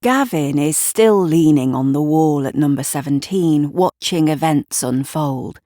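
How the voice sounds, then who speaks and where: A woman's voice: low voice, a little slow, mellow, dry recording, studio quality. A woman narrating a scripted audio drama, with wry, conversational asides.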